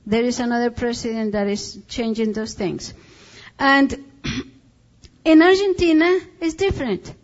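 Colombian woman missionary, fairly high voice, slow halting report talk, dull lo-fi recording. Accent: Colombian Spanish. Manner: halting, searching for English words.

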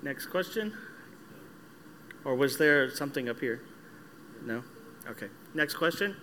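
A man's voice: mid-range voice, animated, a little slow, pushed and bright, a little hiss or hum. A man moderating a question session with brief prompts.

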